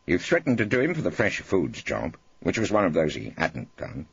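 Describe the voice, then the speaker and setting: A man's voice: low-pitched, huge pitch swings, moderate pace, creaky, slightly rough, dull audio, heavily acted. Man acting a sardonic, hectoring old English barrister. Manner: theatrical, sardonic, hectoring in cross-examination. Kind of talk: audiobook character acting.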